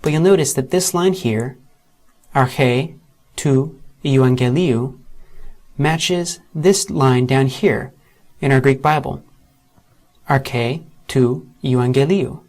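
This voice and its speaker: A man narrating a screen-recorded software tutorial. He walks through each step methodically and slows down to spell out letters and terms. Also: mid-range voice.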